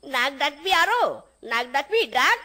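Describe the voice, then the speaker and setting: A woman's voice: very animated, moderate pace, pushed and bright, full character voice. A woman voicing a scheming, whiny fox husband in a cartoon story, with exclamatory, slangy delivery. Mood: exasperated, panicked. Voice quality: high-pitched cartoon voice. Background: music.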